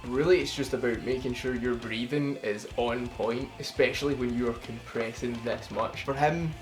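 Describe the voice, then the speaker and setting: A middle-pitched man explains how he sang a cover, drifting off-topic and swearing casually as he goes. He is self-deprecating about his own skills. A song plays clearly underneath his talk. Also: steady tone, normal speed, over music. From United Kingdom. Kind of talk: casual vocal breakdown. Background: song playing underneath.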